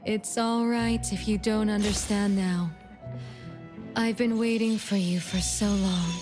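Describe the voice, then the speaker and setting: An actress playing an enigmatic shadow of an older sister in a video game, measured, knowing and gently condescending. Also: female voice, mid-range voice, steady tone, over music, lightly acted. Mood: patient, enigmatic.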